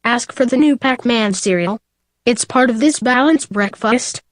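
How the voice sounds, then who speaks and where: A woman delivers a scripted, vintage-style radio commercial. Her voice is on the high side and recorded dry. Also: unhurried, no room sound. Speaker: advertisement presenter. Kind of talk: scripted old-time radio commercial read.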